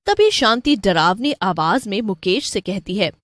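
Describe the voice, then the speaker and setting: A woman's voice: mid-range voice, lively intonation, measured pace, a bit bright, no echo, clean studio sound. A woman narrator giving a scripted narration of a cartoon story.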